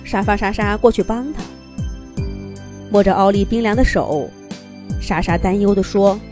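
A woman's voice: medium pitch, moderate pace, over music. A woman narrating a children's fairy tale expressively from a script, as a storyteller.

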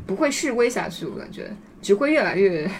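A woman's voice: medium pitch, sing-song, fairly quick, crowd noise. A talkative woman hosting a casual group chat, speaking in a reflective way. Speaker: podcast host. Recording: faint background noise.

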